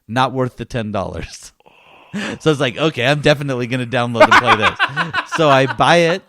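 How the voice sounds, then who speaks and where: A man co-hosting a gaming podcast, telling stories in casual chat with animated, emphatic repetition. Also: mid-range voice, moderate pace, very noisy. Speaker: gaming podcast co-host and reviewer. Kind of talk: casual chat, game review.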